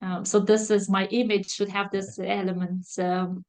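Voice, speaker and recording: Thoughtful woman guest, many pauses. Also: mid-range voice, flat delivery, a little mellow. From Romania. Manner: thoughtful, hesitant with many fillers. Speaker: guest, strategic foresight consultant. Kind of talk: podcast interview, video call.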